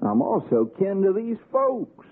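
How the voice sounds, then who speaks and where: A man's voice: sits high, sing-song, unhurried, heavily acted. An actor playing a folksy, falsely soothing country lawman with an Appalachian accent and mock-polite manner in a radio drama. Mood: sly, amused. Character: sly backwoods lawman, falsely soothing, kin to hillbillies. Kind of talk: 1960s radio suspense acting. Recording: tinny 1960s radio recording.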